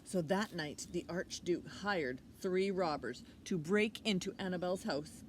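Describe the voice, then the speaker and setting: A woman's voice: lowish voice, a little slow. A middle-aged woman hosting children's storytime outdoors, speaking simply and expressively to young children. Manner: expressive, simple child-directed talk with questions. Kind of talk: picture book reading and children's lesson. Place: outdoors by a leafy hedge. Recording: outdoor recording with faint noise.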